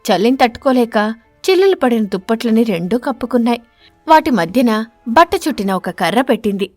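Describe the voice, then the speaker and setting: A woman storyteller reading a scripted narration for an animated moral story. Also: on the high side, a little fast, no echo, soft background music.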